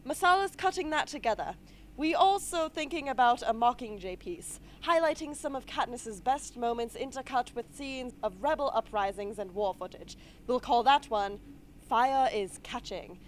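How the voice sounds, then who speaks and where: A woman playing a brisk, articulate rebel film director in an amateur radio play. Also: on the high side, animated, a little hiss or hum, close to an everyday voice. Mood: brisk, keen. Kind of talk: amateur radio drama acting.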